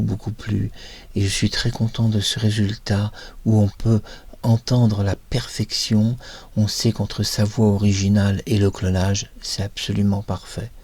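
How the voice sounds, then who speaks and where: A man with a fairly low, very mellow and husky voice presents a demo. He explains things in an emphatic, self-assured manner, repeating words to stress them. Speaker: presenter, voice-cloning software creator.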